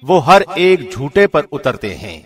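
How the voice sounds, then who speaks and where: A man's voice: a little high, very animated, long reverb tail. A man reading a scripture translation from a script in a formal radio presenter's style.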